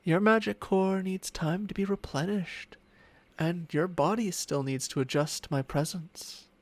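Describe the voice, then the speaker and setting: Casting: male actor for an ancient dragon familiar in ASMR roleplay. Unhurried, lighter-pitched male voice, breathy and teasing, smugly possessive yet doting. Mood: soothing, commanding. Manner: slow, teasing, breathy murmurs slipping into whispers. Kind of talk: ASMR roleplay, intimate personal attention. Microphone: close-up microphone with spatial panning.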